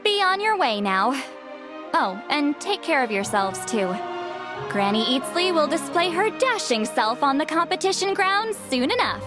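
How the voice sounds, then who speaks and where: An actress voicing a lively, proud old grandmother in a video game, with a chipper, showy manner. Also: high-pitched, measured pace. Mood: cheerful. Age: older. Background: game music.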